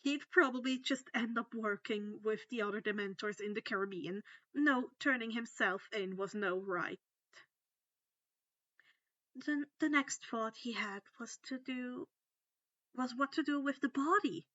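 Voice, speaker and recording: A woman reading a story aloud as a dramatic narrator, expressive and stretching words for effect. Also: a little high, measured pace. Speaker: fan fiction narrator. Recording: dull, echoing home recording.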